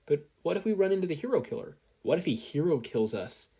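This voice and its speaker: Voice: mid-range voice, sing-song, quick pace, mellow, slightly rough, pitched above the performer's own voice. A performer voicing a nervous, earnest teenage boy hero trainee in an audio story. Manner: anxious, earnest, rambling questions.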